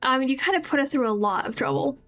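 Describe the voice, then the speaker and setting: High-voiced woman chatting over game music. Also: lively intonation, quick pace, fairly bright tone. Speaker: streamer playing a game. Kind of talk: casual gameplay commentary.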